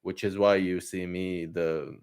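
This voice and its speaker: Man from palestine, very low voice, easygoing, joking, casual chat. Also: unhurried, clear, resonant tone. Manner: relaxed and joking, with restarts. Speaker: guest activist. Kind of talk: casual conversation.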